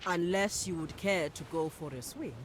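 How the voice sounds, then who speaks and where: An actress playing an earnest, resolute priestess in a fantasy game, speaking formally and deliberately. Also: female voice, on the low side, lightly acted. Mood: firm. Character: earnest, resolute priestess leader of an island people. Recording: noisy ambient background.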